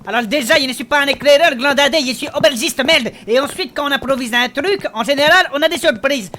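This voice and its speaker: A woman's voice: high voice, quick pace, sharp tone, a little hiss or hum. A woman playing a grumbling, worried innkeeper turned reluctant adventurer in a comic fantasy audio drama, blunt and sarcastic. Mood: exasperated.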